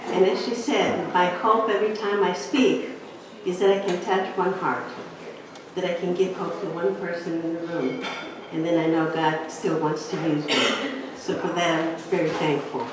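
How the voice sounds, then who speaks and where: A woman's voice: on the low side, fairly even intonation, moderate pace, noisy background. A woman from Greece, giving a chatty inspirational talk as a guest speaker on a handheld mic, telling her story with light humor. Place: church hall. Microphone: handheld microphone. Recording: echoey hall, picked up from a distance.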